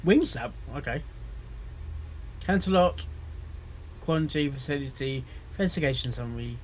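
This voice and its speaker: A man's voice: medium pitch, expressive, moderate pace, a little hiss or hum, poor audio. A man giving casual reaction commentary, muttering along and asking musing questions as a video host.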